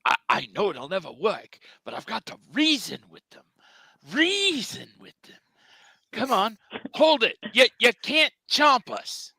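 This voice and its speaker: A man's voice: high-pitched, very animated, unhurried, vocal fry, bright, forceful tone, slightly rough, no room sound, heavily acted. A man playing an earnest, plucky, hapless cartoon hero with pleading melodrama in a table read.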